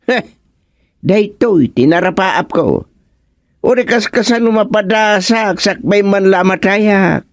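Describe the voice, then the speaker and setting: A man with an Ilocano accent acting an easygoing, free-spending village councilor in a comedy radio drama, with carefree, drawn-out talk. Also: high-pitched, no room sound, clean studio sound. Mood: nonchalant, breezy.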